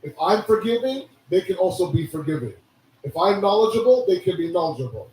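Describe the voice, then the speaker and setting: A hoarse man giving a sermon, preaching with animated rhetorical questions. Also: sits high, fairly quick, slight background noise. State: hoarse, losing his voice. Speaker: religious speaker. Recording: echoey hall from a distance.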